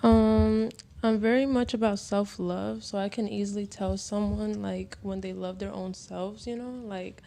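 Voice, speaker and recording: Gravelly young woman, even in pitch. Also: unhurried, few pauses. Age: late teens. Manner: rambling, peppered with "like" and "you know". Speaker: podcast panelist. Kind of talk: casual chat.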